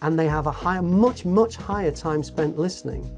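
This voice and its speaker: Mellow voice explaining over soft music. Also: medium pitch, moderate pace. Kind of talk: documentary interview.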